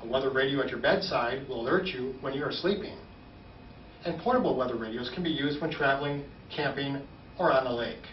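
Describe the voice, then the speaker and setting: A man in his forties giving a measured, formal, scripted informational presentation as a weather service spokesman. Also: medium pitch. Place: small indoor operations room, standing at a podium. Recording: roomy, slightly dull, distant, faint noise.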